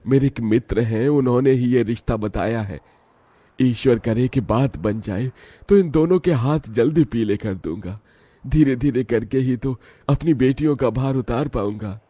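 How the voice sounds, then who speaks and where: A man acts out a poor old village father in a cartoon story. He is earnest and humble, with a soft, mellow voice pitched above the narrator's, recorded close and dry. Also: moderate pace, no room sound, close to an everyday voice. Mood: hopeful, welcoming. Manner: pleading, earnest.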